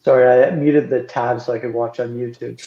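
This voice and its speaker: A man speaking as an engineer in a casual technical work call, thinking aloud in halting phrases as he explains details. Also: mid-range voice, fairly even intonation, a little hiss or hum. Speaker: software engineer on a team call. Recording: roomy, dull lo-fi call audio.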